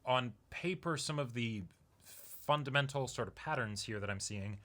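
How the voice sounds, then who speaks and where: A man's voice: medium pitch, normal speed, fairly bright tone. A man co-hosting a reaction show, talking casually and at length in a rambling way, with acted-out asides. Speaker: co-host of an anime reaction show. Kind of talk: casual discussion, anime commentary.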